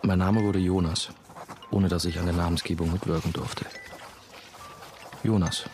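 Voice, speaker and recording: A man's voice: low voice, moderate pace, soft background music, natural voice. An actor playing a young novice monk who narrates his past in a radio play, dry, laconic and sardonic. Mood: dry, reflective. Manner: deadpan, laconic.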